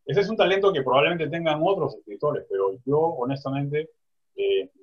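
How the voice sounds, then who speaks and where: A man's voice: a little high, animated, normal speed, breathy edge, highs cut off. A man talking reflectively in a panel discussion over a video call, often restarting his phrases. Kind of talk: casual discussion.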